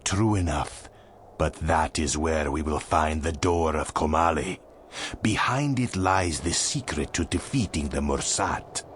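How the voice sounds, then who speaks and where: A solemn, commanding sorcerer with a low, slow voice, guiding a hero in a fantasy game. He is formal and portentous, with clear noise and ambience behind him. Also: fairly bright tone. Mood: grave, commanding. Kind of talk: fantasy game cutscene acting.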